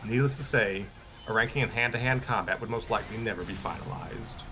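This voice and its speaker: A man's voice: fairly low pitch, a little fast. A man casually hosting a livestream, reading a story aloud and chatting in a hesitant, hedging way. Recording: background music clearly audible. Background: music.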